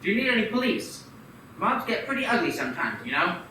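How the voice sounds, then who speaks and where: A man playing a scheming, wealthy old banker who sounds smooth and falsely helpful with a menacing edge. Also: sits high, very animated, fairly quick, bright, forceful tone, a little hiss or hum, heavily acted. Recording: echoey room, far from the mic.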